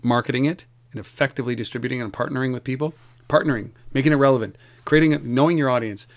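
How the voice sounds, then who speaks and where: Energetic man hosting a podcast. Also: mid-range voice, quick pace. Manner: energetic, repeats words when starting sentences. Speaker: podcast host. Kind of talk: casual podcast chat.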